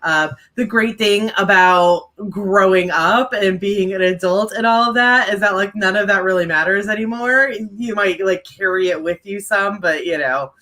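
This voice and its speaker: A woman's voice: medium pitch, moderate pace, hardly any pauses, sharp tone. A woman with a mild stutter, chatting casually to viewers as the co-host of a writing livestream, with many fillers.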